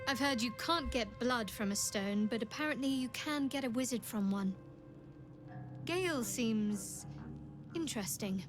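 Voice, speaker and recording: A woman's voice: fairly high pitch, expressive, unhurried. An actress playing a guarded, secretive young adventurer woman in a fantasy video game, reserved and dryly wry. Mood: dry wit. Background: game music.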